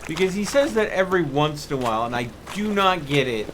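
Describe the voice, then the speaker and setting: A man's voice: fairly high pitch, lively intonation, fast. A man live streaming a video game, musing to himself in casual commentary. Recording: game noise behind commentary. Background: video game ambience.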